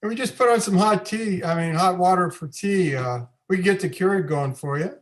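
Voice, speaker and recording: A man's voice: high voice, a little fast, close to an everyday voice. An actor playing a snide, fussy father of sixty, reading comedic dialogue in a table read.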